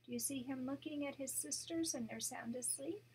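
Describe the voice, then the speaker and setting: An older woman, a grandmother, reading a children's story aloud to her grandchild, animated and pausing to point out the pictures. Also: high-pitched, rough recording.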